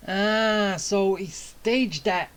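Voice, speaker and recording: A woman's voice: mid-range voice, extremely slow, clean tone. Informal woman gamer reacting casually. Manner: informal, stumbling. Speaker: gamer commentating over gameplay.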